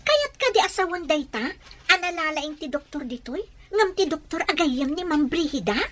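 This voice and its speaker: An actress with an Ilocano Filipino accent playing a respectful, questioning household helper in a radio drama. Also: female voice, sits high, lively intonation, moderate pace, fairly bright tone. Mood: concerned, questioning.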